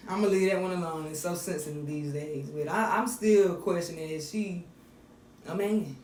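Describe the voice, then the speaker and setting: A man with a deep voice and a Southern accent, co-hosting a podcast in laid-back, joking banter. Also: a little slow. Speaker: podcast co-host. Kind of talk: casual chat. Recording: distant mic in an echoey room with faint noise.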